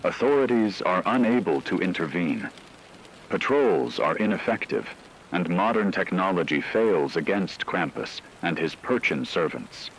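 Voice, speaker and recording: Casting: man playing grave radio news host, low voice, measured and ominous horror-drama read, rainy noise underneath. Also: sing-song, low-quality recording. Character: grave male radio newscaster reporting supernatural terror.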